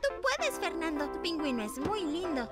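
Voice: very high voice, very animated, moderate pace, over loud music. A voice actress playing a cheerful, kind little girl rabbit heroine in a children's cartoon, in Latin American Spanish. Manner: cheerful, comforting.

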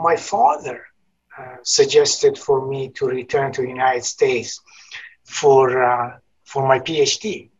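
An older man with a Persian accent speaks as an interview guest, earnest and a little formal, often pausing with ums and repeating words. His voice is husky and sits at a medium pitch. The recording sounds somewhat dull and lo-fi. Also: fairly bright tone. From northern Iran. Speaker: guest, business college dean. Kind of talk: interview storytelling.

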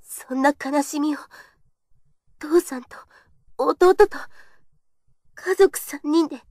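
A woman's voice: high voice, slow pace, darkish tone, no echo, studio quality. A young woman playing a shy, earnest kendo girl in an audio drama monologue, with a hesitant, confessional delivery. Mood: wistful, subdued.

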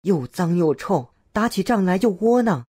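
A fed-up, melodramatic warrior in a fairy-tale game. The voice is husky and swings widely in pitch, recorded dry. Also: female voice, expressive, moderate pace, mellow, no room sound. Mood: disgusted, fed up. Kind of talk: exaggerated game voice acting.

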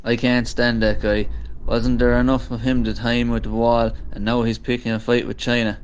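A man's voice: mid-range voice, little pitch movement, normal speed, a little hiss or hum, lightly acted. A man playing a grumbling, traditional-minded rural man in an amateur radio drama. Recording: slightly dull, lo-fi sound.

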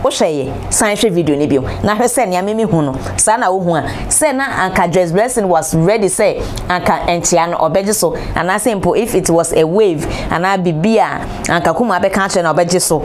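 A woman in her thirties with a Ghanaian accent, presenting entertainment gossip from a studio chair in an animated, exclamatory way, firing rhetorical questions. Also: on the low side, a little fast, hardly any pauses, slight background noise. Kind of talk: gossip commentary, mixing Twi and English. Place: studio, seated on a large throne-like chair. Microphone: lapel.

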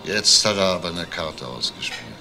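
Gravelly, probing police detective. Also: male voice, low voice, expressive, normal speed, music in the background, rough recording. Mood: thoughtful. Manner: probing, clipped questions. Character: shrewd, probing male police detective questioning suspects. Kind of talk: German TV crime drama interrogation.

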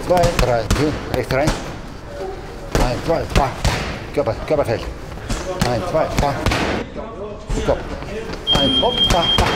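A middle-aged boxing coach calls out short, clipped counts and commands as he holds pads. His voice sits at an ordinary pitch for a man and comes from a camera a few steps away. Loud thumps and gym clatter fill the background. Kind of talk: coaching pad drills. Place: large indoor boxing gym. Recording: gym noise with loud thuds.

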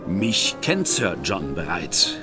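A composed, shrewd older brother in an audio drama. His voice sits on the low side yet moves animatedly, and he speaks in a measured, wry way. Faint music plays underneath. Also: big pitch swings, heavily acted. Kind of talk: German audio drama acting. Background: faint background score.

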